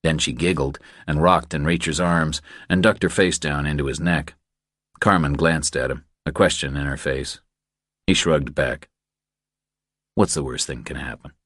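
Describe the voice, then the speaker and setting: A man with an extremely low voice narrates a thriller audiobook. The sound is close and dry, as scripted storytelling. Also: fairly even intonation, normal speed, fairly bright tone, no echo.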